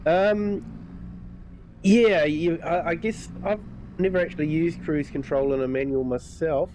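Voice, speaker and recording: A man with a New Zealand accent chatting through a game live stream in a relaxed, rambling way with lots of fillers, his nose a bit stuffed up. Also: a little high, a little slow, slight background noise. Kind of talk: casual live-stream commentary.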